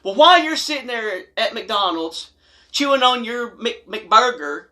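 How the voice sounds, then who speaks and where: A man's voice: very high-pitched, lively intonation, a little slow, pushed and bright. An American man delivering a humorous storytime monologue to camera in a blunt, folksy, emphatic way. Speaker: video host telling stories and advice.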